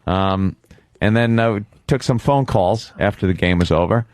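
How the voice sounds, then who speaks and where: A man hosting a radio show in casual, joking banter, with a halting, wry delivery. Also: on the low side, darkish tone, no room sound.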